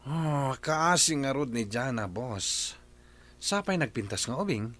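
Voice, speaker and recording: A man's voice: medium pitch, lively intonation, unhurried, a bit bright. A man voicing a henchman who speaks to his boss in a hesitant, deferential way in a radio drama. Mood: uneasy. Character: uneasy henchman, kidnapper's helper.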